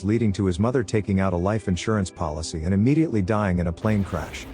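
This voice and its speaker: Low-voiced sardonic man narrating over music. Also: darkish tone. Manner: sardonic, flippant asides. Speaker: movie recap narrator. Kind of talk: scripted narration of a film plot.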